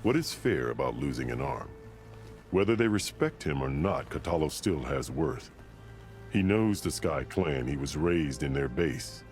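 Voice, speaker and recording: Very deep gravelly warrior chief. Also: male voice, sing-song, full character voice. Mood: stern. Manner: solemn, formal, measured, declarative. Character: stern, proud, commanding warrior chief of a tribe. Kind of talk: dramatic video game acting. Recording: loud music behind the voice.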